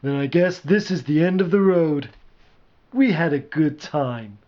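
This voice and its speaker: A man's voice: fairly high pitch, lively intonation, measured pace, muffled recording. A man voicing a practical, doubtful but well-meaning sidekick who reasons tentatively in a storybook reading. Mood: gentle, resigned.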